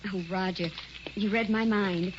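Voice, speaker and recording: An actress playing a frightened young newlywed wife in an old-time radio drama, anxious and pleading. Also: female voice, mid-range voice, fairly even intonation, normal speed, background noise, natural voice. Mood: fearful. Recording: dull old radio recording with music.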